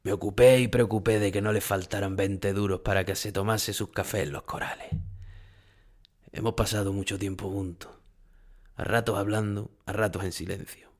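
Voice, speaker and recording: An actor playing an aging, haunted Spanish bullfighter who recalls his past gravely in a dramatic audio monologue. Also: on the low side, steady tone, normal speed. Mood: wistful.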